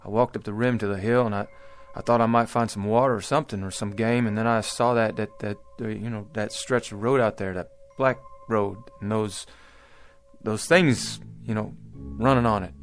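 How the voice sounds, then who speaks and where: An actor playing an earnest, bewildered pioneer wagon traveler in a radio drama, speaking hesitantly in folksy old-time phrasing, with music behind. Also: male voice, on the low side, steady tone, moderate pace, natural voice. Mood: confused, faltering.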